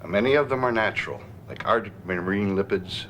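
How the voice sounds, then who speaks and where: A very low-voiced male doctor played by an actor in a scripted dramatization. He explains in a calm, measured, formal manner, with faint background noise behind him. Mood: calm, instructive. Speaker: actor playing a physician.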